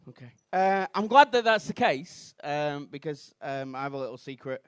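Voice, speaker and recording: A Mancunian man in his thirties doing a rambling, self-deprecating stand-up routine on a comedy club stage, bursting into shouted outrage. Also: a little high, lively intonation, normal speed, clear, resonant tone, dry recording, studio quality. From Manchester, England.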